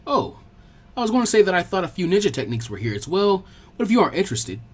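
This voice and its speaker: A man acts out an old village leader in an audiobook story. He is genial and sly, speaking formally with gentle teasing and varied intonation. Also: high-pitched, very animated, quick pace, husky, heavily acted. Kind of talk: fan fiction audiobook character voice.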